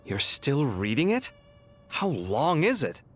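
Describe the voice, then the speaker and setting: An actor playing a conscientious young scholar in a video game, expressive and theatrical, quick to grumble. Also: male voice, mid-range voice, slightly rough, soft background music, heavily acted. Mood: curious, businesslike. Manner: animated, theatrical. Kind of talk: video game dialogue acting.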